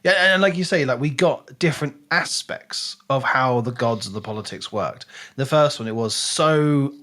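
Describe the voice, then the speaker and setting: A man's voice: mid-range voice, normal speed, fairly bright tone. A man co-hosting a book podcast in casual discussion, often voicing imagined reactions as he talks.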